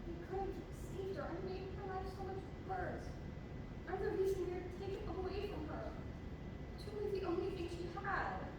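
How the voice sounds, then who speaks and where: A woman's voice: very high-pitched, moderate pace. A woman playing a hurt, confrontational teenage daughter burdened by guilt, in a staged play reading. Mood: grieving, guilty. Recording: noisy, very echoey room, distant mic.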